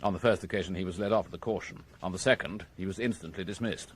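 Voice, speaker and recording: An actor playing a methodical, courteous British police inspector in a radio crime drama, speaking in a measured, formal and precise way. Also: male voice, low voice, husky. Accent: British English. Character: methodical, courteous male police inspector reporting his findings.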